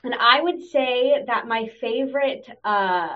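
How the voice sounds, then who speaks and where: A woman's voice: on the high side, takes it slowly. A woman from Texas, a producer and actor guest, chatting casually with many fillers. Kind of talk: casual interview chat. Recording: dull, lo-fi audio.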